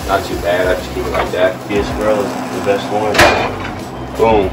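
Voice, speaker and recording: Low-voiced young man vlogging in his kitchen. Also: little pitch movement, normal speed. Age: young adult. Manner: laid-back, chatty, talks to viewers while cooking. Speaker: fitness vlogger. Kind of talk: casual cooking vlog. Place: home kitchen, indoors. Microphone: camera set on the counter, a few feet away. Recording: distant camera mic, music behind.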